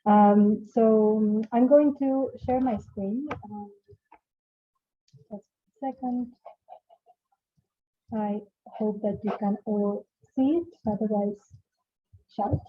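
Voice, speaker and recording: A woman's voice: medium pitch, fairly even intonation, takes it slowly, pauses often. A woman from Argentina with an Argentine accent, presenting at an online conference as an organization director, with many fillers and restarts. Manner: many fillers and repeated starts. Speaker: organization director, panel speaker. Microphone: video call. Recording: tinny phone-like call audio.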